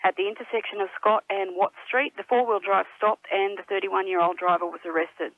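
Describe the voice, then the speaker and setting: Over a thin, tinny phone line, a woman gives a formal police statement. Her voice has a clear creak to it. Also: medium pitch, fairly even intonation, a little fast, no room sound. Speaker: police spokesperson. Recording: narrow, tinny telephone line.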